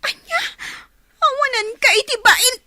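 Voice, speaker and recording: A woman's voice: very high-pitched, animated, moderate pace, background noise. An actress playing a young pregnant girlfriend in a radio drama, emotional, hurt and accusing. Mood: angry, tearful. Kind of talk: radio drama acting in Ilocano.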